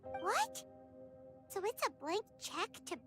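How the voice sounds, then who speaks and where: Squeaky, very high-pitched little companion. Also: female voice, sing-song, slow, full character voice. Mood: excited, curious. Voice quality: squeaky, childlike. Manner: exclamatory, wide-eyed. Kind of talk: anime video game voice acting. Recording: music playing under the voice.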